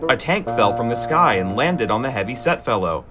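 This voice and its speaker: Expressive male storybook narrator. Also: medium pitch, normal speed, music in the background. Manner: gentle, expressive storytelling with dry asides.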